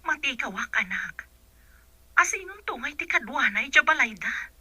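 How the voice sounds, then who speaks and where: Actress as a bright, sharp high-voiced woman. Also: sing-song, moderate pace, no room sound, exaggerated voice. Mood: doubtful, resigned. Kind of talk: Filipino radio drama acting.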